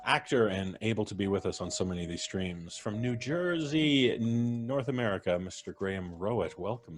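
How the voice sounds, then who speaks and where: A man hosting a live-streamed show, chatting casually and jokingly as he introduces his guests. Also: lowish voice. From Canada. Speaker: host. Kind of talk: casual livestream chat.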